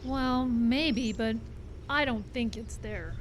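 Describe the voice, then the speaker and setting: A woman's voice: on the high side, takes it slowly. A woman playing a frank, sensible friend in a live audio drama, speaking matter-of-factly. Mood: reassuring, skeptical. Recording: outdoor live stage, faint background noise.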